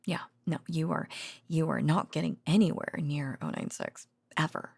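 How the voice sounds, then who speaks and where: A woman playing a tender, worn-out researcher girlfriend in an intimate bedtime audio roleplay, speaking haltingly and drifting into whispers. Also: low-pitched, creaky, darkish tone, husky, no echo, heavily acted. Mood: fond, protective worry.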